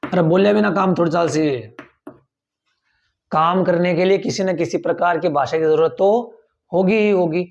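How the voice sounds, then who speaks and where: A man's voice: sits high, normal speed, creaky, a little hiss or hum. A man teaches a civics class. He goes over each point more than once and keeps checking whether his students follow. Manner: repeats key points, quizzes listeners with rhetorical questions. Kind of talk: classroom lecture for tenth grade.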